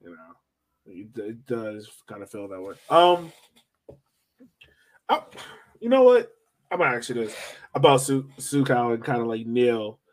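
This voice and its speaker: Mid-pitched man in slangy soccer chat. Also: lively intonation, a little slow, long pauses. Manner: informal, slangy, conversational. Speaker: soccer podcast co-host. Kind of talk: casual sports fan chat.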